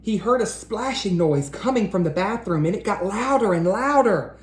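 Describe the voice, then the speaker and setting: A man hosting a read-aloud for children, speaking directly to them with questions and drawn-out emphasis. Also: high-pitched, expressive. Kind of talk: children's picture-book read-aloud and chat.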